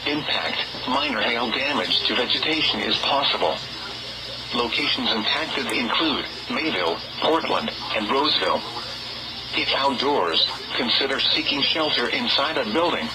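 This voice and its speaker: A man's synthetic voice reads a scripted weather bulletin in a formal, measured way over a weather radio broadcast. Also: medium pitch, lively intonation, heavy background noise. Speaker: automated weather radio announcer.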